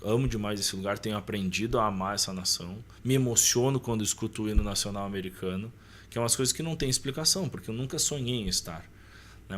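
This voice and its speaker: Low-voiced Brazilian man in his thirties. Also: fairly bright tone, slight background noise. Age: 30s. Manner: colloquial, slangy, often seeking agreement with short tags. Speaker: podcast guest. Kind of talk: casual personal storytelling.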